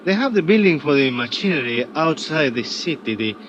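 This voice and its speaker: An actor playing an earnest, friendly local guide in a 1950s radio drama. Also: male voice, fairly high pitch, very animated, a little fast, fairly bright tone. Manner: earnest, broken English. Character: local man, friendly guide, Spanish accent. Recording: dull old radio recording with hiss.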